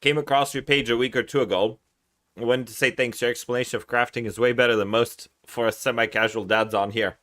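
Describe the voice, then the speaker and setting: A man's voice: mid-range voice, fairly even intonation, fairly quick, a bit bright. A man from Russia hosting a livestream, chatting casually while he plays, reading chat aloud, swearing and laughing often. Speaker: streamer host.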